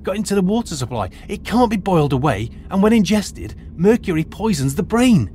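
A man's voice: sits high, very animated, fairly quick, dark tone, a little hiss or hum. A man playing a middle-aged retired archaeologist turned teacher who lays things out in a precise, lecturing way. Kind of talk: audio drama acting.

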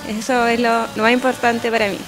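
A twenty-two-year-old Chilean woman speaking in a relaxed, easygoing way in an interview. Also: a little high, brisk, gravelly. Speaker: pageant contestant. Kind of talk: casual interview. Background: music.

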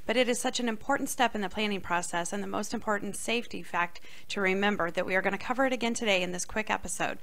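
A middle-aged woman speaks as a podcast co-host at an average pitch. She delivers scripted lines into a close boom-arm microphone in a small indoor studio. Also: sharp tone.

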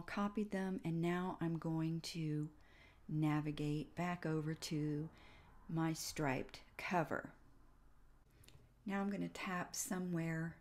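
A woman giving casual step-by-step narration for a software tutorial, pausing between short phrases. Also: sits low. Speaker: tutorial host.

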